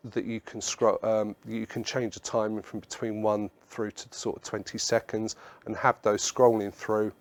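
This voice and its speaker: A man presenting a product webinar in a measured, instructional way, explaining slides point by point. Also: lowish voice, creaky.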